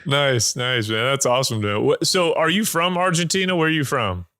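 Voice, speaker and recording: A man's voice: medium pitch, lively intonation, normal speed, sharp tone, smooth, no room sound, studio quality. A man hosting a podcast, chatting casually in a loose, rambling way with lots of filler words. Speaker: podcast host. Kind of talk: casual interview chat.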